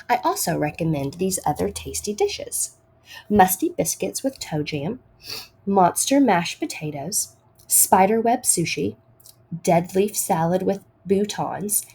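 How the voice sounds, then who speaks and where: A woman reading a picture book aloud to children in a deliberate, expressive storytime style. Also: on the low side, breathy edge.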